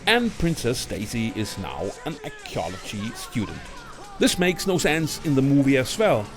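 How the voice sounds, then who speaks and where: A man's voice: mid-range voice, very animated, normal speed. A man presenting a scripted film review as a ranked list, in the manner of a video narrator. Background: music.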